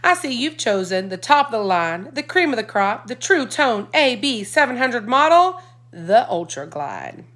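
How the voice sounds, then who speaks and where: A woman's voice: on the high side, sing-song, normal speed, a bit bright, voice pushed up. A woman voicing a cheerful, showy father who slips into a hammy salesman patter. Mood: showy pitch.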